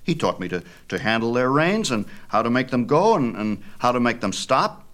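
A man's voice: medium pitch, very animated, normal speed, fairly bright tone, voice pushed up. A man voicing a proud, defensive young boy, earnest and stubborn, in a mythology storybook. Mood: defensive bluffing. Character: proud, defensive boy bluffing about his divine father.

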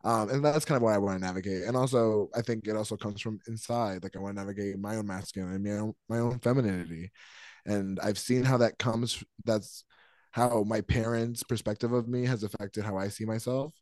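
A man with a fairly deep voice speaks as a podcast co-host. He is casual and reflective, talking personally and probing as he goes. The recording is very dry and free of room sound. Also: steady tone, fairly quick, a little mellow, no room sound.

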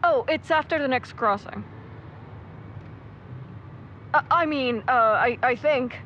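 Woman as a high-voiced hesitant young man. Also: fairly bright tone, highs cut off, slight background noise. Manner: hesitant, halting. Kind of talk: audio drama acting.

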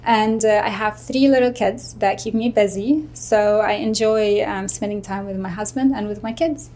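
Woman from Jordan, doctor guest, measured, hesitant fillers, faint room ambience. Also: medium pitch, dark tone, slight background noise. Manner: measured, with frequent ums and uhs. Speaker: physician guest. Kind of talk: studio interview answers. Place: studio.

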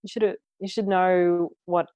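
A woman's voice: fairly low pitch, fairly even intonation, measured pace, warm tone, muffled recording, slight background noise. A woman from Australia, co-hosting a casual podcast chat, talking bluntly, swearing freely and laughing easily. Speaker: podcast co-host.

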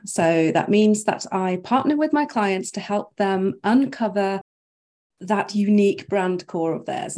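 A woman from Norway, a brand strategist, guesting on an interview over a video call, chatty and quick to laugh. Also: medium pitch, darkish tone. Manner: chatty, laughs often, thinks aloud with fillers. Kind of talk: casual interview chat.

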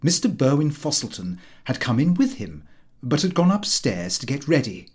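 A man narrating a comic diary in the character of a prim, put-upon, pompous middle-class gentleman. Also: medium pitch, very animated, moderate pace. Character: fussy, easily affronted middle-class Victorian gentleman diarist. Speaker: audiobook reader.

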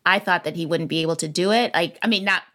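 A woman in her forties hosting a casual conversation, enthusiastic and animated as she tells stories. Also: fairly low pitch, fast talker. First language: English. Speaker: podcast host.

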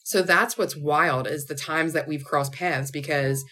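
A woman's voice: sits low, a little slow, bright, forceful tone. A woman, hosting a chatty podcast and laughing often. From Northeast Philadelphia. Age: 30s. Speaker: podcast host. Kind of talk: casual chat.

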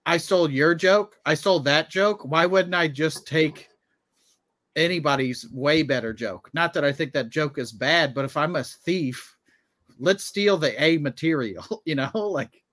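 Male co-host, slightly high voice, casual and halting delivery, very dry recording. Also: a bit bright, dry recording. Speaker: podcast co-host. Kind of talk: casual chat.

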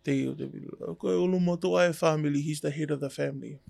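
A man's voice: fairly high pitch, steady tone, fast talker, creaky, darkish tone, no room sound. A man, a tattoo artist whose first language is Tongan, talking in an easygoing, reflective way with jokey asides on a casual podcast.

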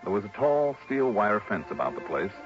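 Dull old radio sound, a breezy wisecracking american insurance detective, swings up and down a lot. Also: male voice, on the low side, very animated, moderate pace, music in the background. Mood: measured, wry. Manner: breezy, wry, teasing. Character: wry, breezy male freelance insurance investigator. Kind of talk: 1950s-60s American radio drama acting and narration.

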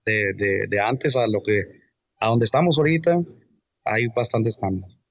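Hesitant, soft-spoken man in a dry recording. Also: lowish voice, lively intonation, measured pace, no echo. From California, US. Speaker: guest musician. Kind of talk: casual interview.

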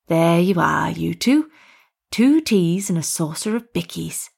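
A woman puts on an eccentric village tea lady for a storybook. She is chirpy, motherly and a little secretive, gently asking questions. The voice is husky with plenty of creak. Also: fairly low pitch, animated, normal speed, full character voice. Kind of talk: acted storybook dialogue.

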